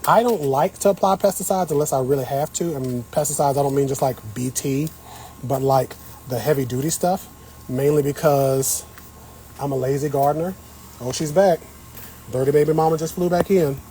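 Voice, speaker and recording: A man's voice: a little high, normal speed, warm tone, slight background noise, rough recording. A man hosting a casual gardening livestream, chatting with viewers as he works the soil on an outdoor patio. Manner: chatty, talks to viewers while working the soil. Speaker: host.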